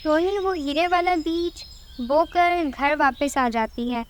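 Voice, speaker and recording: A woman's voice: sits high, slow, a little hiss or hum. A woman reading scripted narration for a children's cartoon moral story.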